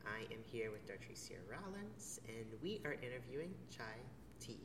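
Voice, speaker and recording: A man conducts an oral history interview in a measured, formal way, moving from a read introduction to gentle questions. He sits well away from the mic in an echoey theater hall with a long reverb tail. Clear room noise runs under his voice. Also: medium pitch, very animated. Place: theater venue. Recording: distant mic in a roomy, noisy hall.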